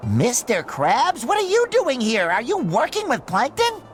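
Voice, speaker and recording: An actor playing a cheerful, naive, eager young sea sponge in a cartoon video game, earnest and excitable. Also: male voice, very high-pitched, unhurried, full character voice. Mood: earnest concern. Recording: loud music behind voice.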